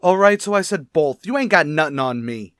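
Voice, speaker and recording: A man's voice: fairly high pitch, animated, a little fast, a bit bright, clean tone, no room sound, clean studio sound. An actor playing an evasive, easily exasperated male murder suspect in a radio crime drama, answering defensively and testily. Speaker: voice actor in an audio police drama.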